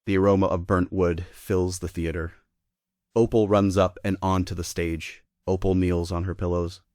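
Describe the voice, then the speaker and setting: A man's voice: low voice, little pitch movement, normal speed, darkish tone, no room sound, studio quality. A man giving scripted narration, reading out the stage directions of a play.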